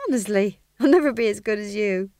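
A woman voicing a nervous young male actor who declaims his lines slowly and haltingly, then talks timidly. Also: mid-range voice, darkish tone, no echo, clean studio sound, pitched above the performer's own voice, close to an everyday voice. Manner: halting, slow overdone declaiming.

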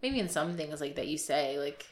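A woman co-hosting a casual podcast, her pitch bouncing all over as she talks. She strings thoughts together with plenty of "like" and restarts. Her tone has a slightly nasal, congested edge. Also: animated, moderate pace. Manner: chatty, trailing filler words. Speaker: podcast co-host. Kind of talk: casual chat.

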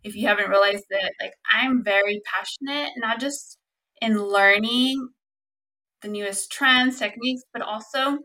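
Bright-voiced chatty young woman podcaster. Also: measured pace, poor audio. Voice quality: squeaky voice. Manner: chatty, earnest, lots of fillers like "um" and "like". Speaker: podcast host. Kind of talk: casual solo podcast talk.

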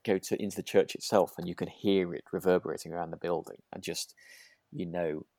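A man's voice: deep voice, level tone, fairly quick, darkish tone, no echo. A man co-hosting a podcast, chatting informally and thinking aloud in a tentative way. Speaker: podcast co-host. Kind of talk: relaxed, informal discussion.

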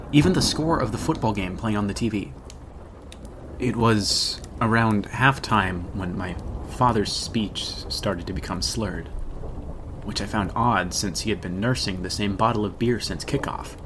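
Grave, gravelly haunted man an actor's role. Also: on the low side, background noise, close to an everyday voice. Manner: deliberate, solemn, ominous storytelling. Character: haunted grown man recounting a teenage horror, grave, regretful. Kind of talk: dramatic horror audio drama narration.

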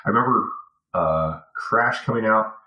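A man's voice: fairly low pitch, normal speed, sharp tone. An American man in his forties from Virginia, reminiscing casually as a podcast guest in a rambling way. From Virginia, USA. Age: 40s. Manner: rambling, punctuated with "you know". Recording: roomy, echoey sound.

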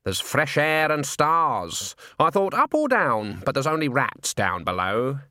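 A man voicing a stern, shrewd old witch woman with a brisk, authoritative manner in a storybook reading. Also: mid-range voice, very animated, pushed and bright, dry recording, studio quality. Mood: dry, probing. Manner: curt, correcting, authoritative.

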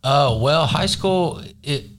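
A man in his thirties who grew up in North Carolina, a guest in recovery talking in a relaxed, halting way with many fillers. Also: fairly high pitch. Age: 30s. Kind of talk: casual interview. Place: studio.

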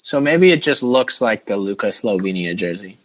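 A man's voice: fairly low pitch, animated, normal speed, fairly bright tone. A man hosting a casual sports podcast, chatting and steering the discussion. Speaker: podcast host.

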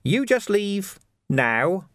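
A man's voice: sits high, big pitch swings, extremely slow, fairly bright tone, no echo, clean studio sound, pitched above the performer's own voice, full character voice. A man voicing a sly, menacing male crook turned sergeant who talks with cheerful, mocking menace.

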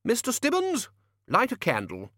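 Man as blustering, higher-pitched older wizard. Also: huge pitch swings, moderate pace, fairly bright tone, no echo, clean studio sound, heavily acted. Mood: brusque. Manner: pompous, blunt, brusque. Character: blustering, blunt older male wizard, head of a university.

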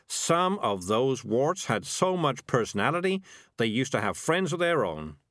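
Guy doing a street cat thats a total yes-man, glib, makes stuff up, voice jumps around, a bit loud. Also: fairly low pitch, very animated, no echo, studio quality. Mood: wheedling. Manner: glib, agreeable, quick to make things up. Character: streetwise male cat, sly and eager to please.

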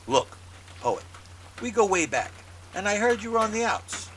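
An actor playing an exasperated, pleading old friend who brings a shady job, in a noir audio drama. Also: male voice, high voice, sing-song, normal speed, fairly bright tone, slight background noise, low-quality recording. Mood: urgent, persuasive.

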